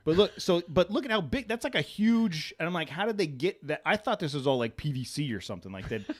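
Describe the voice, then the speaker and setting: A man's voice: fairly high pitch, very animated, fast. A man co-hosting a casual podcast, rambling through his thoughts with frequent restarts and casual swearing. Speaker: podcast co-host. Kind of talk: casual chat.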